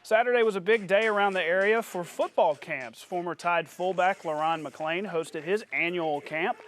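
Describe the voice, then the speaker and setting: A male sports anchor with a notably high voice. He reads scores and narrates highlights in a punchy, announcer-like way, as on a local television sportscast. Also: moderate pace, a bit bright.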